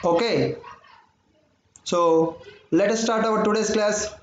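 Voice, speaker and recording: A man's voice: sits high, takes it slowly. A man with an Indian English accent teaching a live online math class. He repeats phrases and keeps asking whether his listeners understood. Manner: repeats phrases, keeps saying "my dear friends" and "understood or not". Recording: dull, roomy audio with faint noise.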